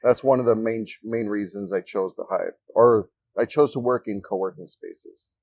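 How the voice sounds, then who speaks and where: A man from Ecuador speaking as a guest in a casual interview in a coworking space, relaxed and joking as he tells stories. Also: fairly low pitch, moderate pace, vocal fry, no room sound. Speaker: guest, freelancer.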